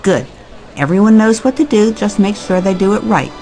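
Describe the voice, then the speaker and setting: A woman playing a brisk, pushy and cynical event boss in an audio drama, breezy and commanding. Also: mid-range voice, lively intonation, warm tone, faint music behind, close to an everyday voice.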